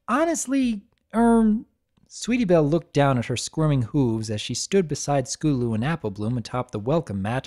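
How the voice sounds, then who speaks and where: Woman narrator, low voice, lively expressive delivery, dramatic story reading.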